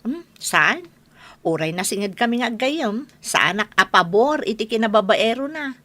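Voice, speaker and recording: Actress as a sharp, chatty Ilocano busybody. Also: female voice, a little high, lively intonation, unhurried. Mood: chatty, nosy. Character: chatty, meddling, blunt female village friend. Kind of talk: Filipino radio comedy drama acting.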